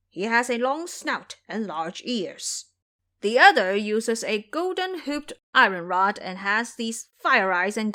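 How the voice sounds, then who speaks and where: A woman's voice: on the high side, a bit bright. A woman voicing a male tiger demon officer who reports eagerly and deferentially to his king in an audio story. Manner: deferential, formal report to a superior.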